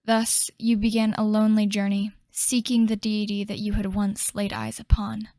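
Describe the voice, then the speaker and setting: A woman playing a solemn storyteller, narrating a fantasy game legend in a measured way. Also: medium pitch, level tone, warm tone, dry recording, close to an everyday voice.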